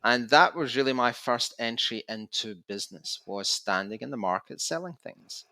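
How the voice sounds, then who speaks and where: A man's voice: medium pitch, expressive, moderate pace, sharp tone, dry recording. A Scottish man in his fifties, a podcast guest, telling his story in a chatty, easygoing way. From Scotland. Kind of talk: casual interview storytelling.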